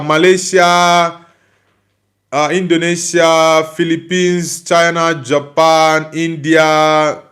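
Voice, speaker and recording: A man's voice: fairly high pitch, fairly bright tone. Nigerian man reading live chat comments. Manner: reads viewer comments aloud and answers each one; friendly, often asks for likes and subscribes. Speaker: livestream host reading chat.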